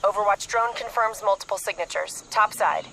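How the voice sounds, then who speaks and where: A woman's voice: medium pitch, normal speed, vocal fry, very noisy, natural voice. A woman playing a businesslike intelligence operative in a video game cutscene, briefing in a matter-of-fact way. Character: businesslike, hard-headed female intelligence operative.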